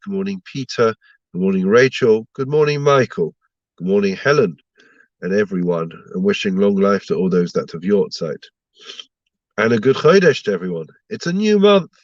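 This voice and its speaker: A man's voice: on the low side, dry recording, dull audio. A man giving an online Torah lesson to viewers, sounding stuffed up from a slight cold and teaching through rhetorical questions. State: blocked up with a cold. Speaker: rabbi giving a Torah class.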